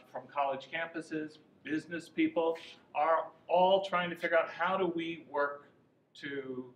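A man in his sixties speaking in a fairly high voice as he gives a conversational lecture. He is picked up from across an echoey classroom, with a faint hiss behind him. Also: a bit bright, distant mic. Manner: conversational, gestures while talking, some restarts. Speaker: guest speaker, lobbyist. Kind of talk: informal lecture to an audience. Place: university classroom. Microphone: far camera, no mic.

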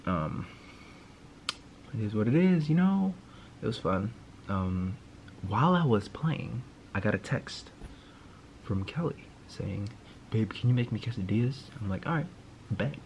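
Young man, laid-back vlogger, slangy rambling recap, lowish voice, close phone mic, faint background hiss. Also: expressive, measured pace, a little mellow, highs cut off. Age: young adult. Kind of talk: casual evening recap vlog. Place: small walk-in closet indoors. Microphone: phone camera close to face.

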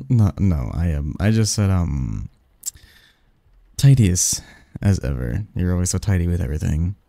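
A man playing a snarky young research assistant who fondly teases a friend in a halting, wordy, intimate ASMR roleplay monologue. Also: low voice, creaky, mellow, dry recording, studio quality, heavily acted. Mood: flustered, fond. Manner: halting, sighing, teasing, wordy.

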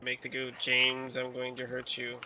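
A man's voice: medium pitch, monotone, normal speed, pushed and bright, poor audio. A man chatting and joking with friends while playing a survival game online, laughing often. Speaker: gamer. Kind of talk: casual multiplayer game chat.

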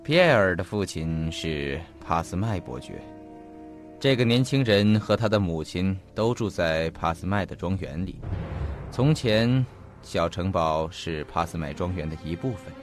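A man acting in an audio drama as an earnest investigator, speaking in a formal, deliberate and detailed storytelling manner. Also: low-pitched, lively intonation. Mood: grave, explanatory. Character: earnest male investigator recounting a mysterious disappearance. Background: faint background music.

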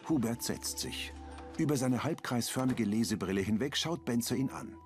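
A man reading the audio description of a crime series in a calm, matter-of-fact way. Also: medium pitch, moderate pace, soft background music.

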